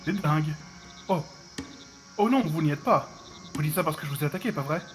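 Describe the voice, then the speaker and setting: A man's voice: on the high side, animated, brisk, soft background music, natural voice. An actor playing a frantic, muddled madman who rambles and talks to himself in an audio drama. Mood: eerie, rambling. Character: frantic, muddled madman with a machete, warning off intruders.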